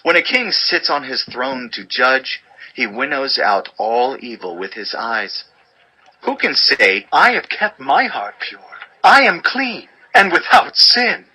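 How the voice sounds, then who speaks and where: A man narrating an audio Bible as a dramatized scripture reading, with measured, declamatory delivery of short sayings. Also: mid-range voice, big pitch swings, sharp tone, breathy edge, rough recording.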